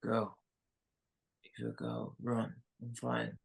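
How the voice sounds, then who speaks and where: A man acting an injured, urgent man in a live screenplay table read, with a raspy, gravelly voice. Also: mid-range voice, completely flat delivery, slow pace, full character voice. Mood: strained, insistent. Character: injured, breathless man urging his companion onward.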